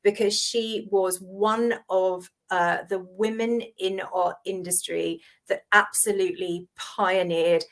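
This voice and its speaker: A woman in her fifties who grew up in Hong Kong, a guest on a video-call interview, telling her career story with animated acted-out dialogue. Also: mid-range voice, takes it slowly, hardly any pauses. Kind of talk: conversational interview.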